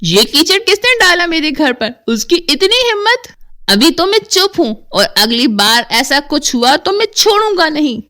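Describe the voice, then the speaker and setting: Woman playing indignant village man, insistent, sharp forceful tone, horror cartoon. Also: high-pitched, big pitch swings, unhurried, full character voice. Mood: angry.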